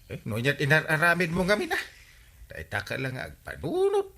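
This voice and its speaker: An actor with an Ilocano accent playing an excitable, teasing village man in a comedy radio drama, exclaiming often. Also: on the high side, moderate pace, full character voice. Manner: exclamatory, bursts of cackling laughter. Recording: music bed behind the voice.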